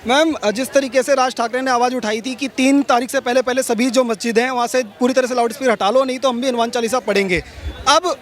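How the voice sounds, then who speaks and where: Fast, very high-voiced male field reporter. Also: fairly even intonation. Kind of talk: street news interviewing.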